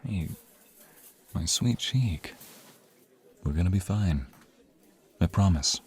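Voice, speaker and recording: A low, slightly rough-edged voice of a man acting out a protective young mobster in a romantic audio drama. He speaks intimately and earnestly to his lover, with menace ready for anyone who threatens them. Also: lively intonation, takes it slowly, full character voice. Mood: tender, worried.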